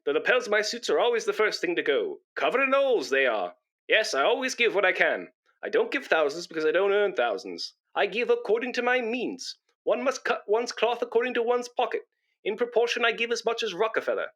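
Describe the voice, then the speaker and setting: An actor in a comedy radio play, playing a pompous, self-righteous, boastful busybody who sounds self-important and wheedling. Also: male voice, high voice, very animated, a little fast, a bit bright.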